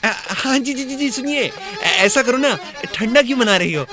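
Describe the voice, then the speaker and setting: A man's voice: very high voice, expressive, normal speed, over music. A man playing a wily, chatty investigator in a radio drama, chummy and wheedling, with stammering hesitations. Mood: flirtatious, wheedling.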